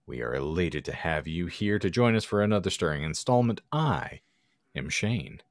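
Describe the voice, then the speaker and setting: A man's voice: sits low, very animated, moderate pace, no room sound, studio quality. A man hosting a comedic podcast in florid, theatrical, wordy language. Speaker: podcast host. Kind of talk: casual comedic podcast banter.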